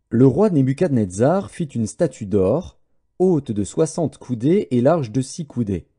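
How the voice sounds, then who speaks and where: Guy reading bible audiobook, really dark soft-edged voice, solemn and measured. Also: medium pitch, slightly rough. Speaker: audiobook narrator. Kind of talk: scripted scripture narration.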